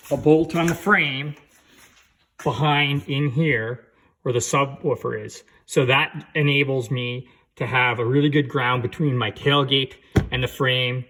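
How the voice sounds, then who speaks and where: A man's voice: mid-range voice, sharp tone, slight background noise. A man giving a casual, hands-on how-to walkthrough as a vlogger, in an informal way with conversational asides.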